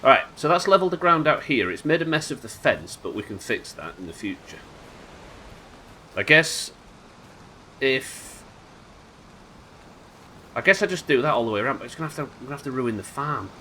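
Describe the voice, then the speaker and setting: A man with a nasal voice, a little hoarse from illness, chatting wryly and swearing casually while streaming a game live. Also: medium pitch, big pitch swings, moderate pace, pauses often, bright, forceful tone, a little hiss or hum. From United Kingdom. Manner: chatty, wry, swears casually, muttering asides. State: sick, slightly hoarse.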